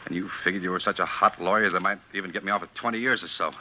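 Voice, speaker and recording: An actor playing an earnest, plain-spoken young ex-convict on trial, in 1940s radio drama style. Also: male voice, deep voice, steady tone. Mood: angry, accusing. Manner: plain-spoken, halting, insistent. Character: accused young ex-convict, earnest, grimly determined under pressure. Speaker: defendant on trial. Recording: dull vintage 1940s radio recording.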